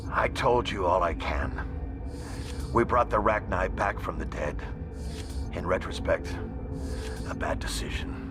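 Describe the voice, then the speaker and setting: A haunted scientist in a video game, weary and fatalistic. His low voice moves slowly and haltingly, heavy with guilt, over clear background music. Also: lively intonation. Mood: grim, fatalistic.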